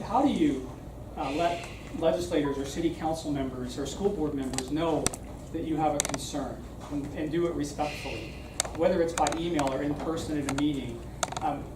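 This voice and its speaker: A man giving an informal public talk in a conversational, explanatory way, often checking in with "right?". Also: on the high side. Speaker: speaker giving a civic talk. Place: room at a live meeting. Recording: roomy, distant mic, clear background noise.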